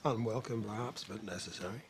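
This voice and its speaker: A man's voice: lowish voice, a little fast, noisy background, lightly acted. An actor playing an assured, ambitious young-republic statesman in a period film, with formal, precise and assertive delivery. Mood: polite, firm. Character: assured, ambitious Treasury statesman of revolutionary-era America. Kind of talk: period film drama dialogue.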